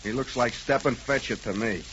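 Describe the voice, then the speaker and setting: A man's voice: mid-range voice, moderate pace, a bit bright, close to an everyday voice. Man playing a skeptical sidekick, noisy old radio. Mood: grumbling, wary. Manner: dry, slangy, sardonic. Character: skeptical, grumbling male sidekick, dry and worried. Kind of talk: 1940s radio comedy acting. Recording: noisy, dull 1940s radio recording.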